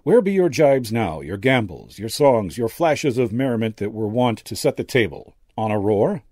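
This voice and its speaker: A man voicing a kindly old medium and former actor, gentle, courtly and theatrical, in an audiobook story. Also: medium pitch, big pitch swings, moderate pace, dry recording, clean studio sound, voice pushed up. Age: older. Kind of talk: character dialogue in an audiobook story.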